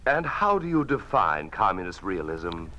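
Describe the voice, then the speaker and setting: A cynical, principled newspaper critic from an old radio drama. His bright, forceful voice has lively inflection and a dry, pointed edge. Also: low voice, normal speed, exaggerated voice. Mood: firm, sardonic. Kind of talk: 1950s radio drama acting.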